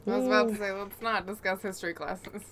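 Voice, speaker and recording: Female podcast co-host, mid-pitched, wry and teasing casual chat, faint background noise. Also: fast talker.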